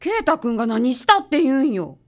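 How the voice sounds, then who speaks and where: A spirited, protective female assistant with a Kansai accent, acted by a woman in a radio drama. Her voice sits a little high and moves animatedly. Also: very animated, a little fast. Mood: defensive.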